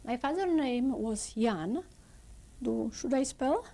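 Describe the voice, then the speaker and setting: A woman in her seventies from Poland with a Polish accent, giving halting, slow oral history testimony in non-native English. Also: fairly high pitch, expressive, dark tone. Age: 70s. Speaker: interviewee.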